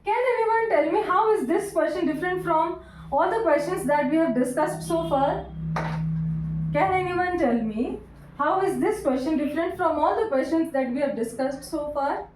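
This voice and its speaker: A woman teaching English grammar, repeating each sentence slowly word by word and asking her students questions. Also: extremely high pitch, darkish tone, slight background noise. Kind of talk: classroom grammar lesson. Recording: echoey room, voice from a distance.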